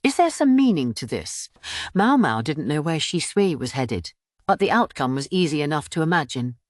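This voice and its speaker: Female audiobook narrator, low pitch, highly varied intonation, expressive fiction reading, dry sound. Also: moderate pace, no echo.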